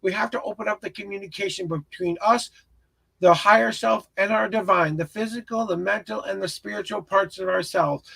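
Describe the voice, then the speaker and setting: A man with a crackly, raspy voice giving an earnest, encouraging and rambling tarot reading as a live stream host. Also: sits high, a bit bright. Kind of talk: spiritual tarot reading, casual guidance to a viewer.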